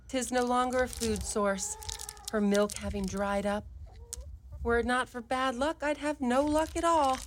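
A woman's voice: a little high, a little hiss or hum, natural voice. Woman playing a fretful, scolding peasant widow. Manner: long-suffering, theatrical lamenting. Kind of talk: live radio play acting of a fairy tale.

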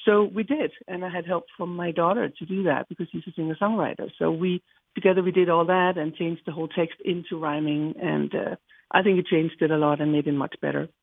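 A woman's voice: on the low side, fairly even intonation, a little fast, vocal fry, breathy edge. A middle-aged woman from Denmark with a Danish accent, an author chatting as a guest in an interview, cheerful and rambling. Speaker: guest, children's book author. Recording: tinny phone line.

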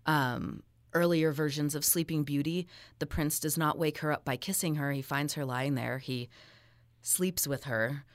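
A woman's voice: low voice, measured pace, dry recording, clean studio sound. A woman speaking as an expert guest in an interview, explaining things fluently and informally with a wry touch. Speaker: expert guest, folklore academic.

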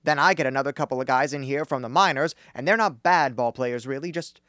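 A man's voice: medium pitch, fast talker, fairly bright tone, no echo, studio quality, close to an everyday voice. A man acting in a comedic radio play as a garrulous, folksy baseball business manager and barkeeper with a colloquial, rambling delivery. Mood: rambling, stalling. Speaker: student radio actor.

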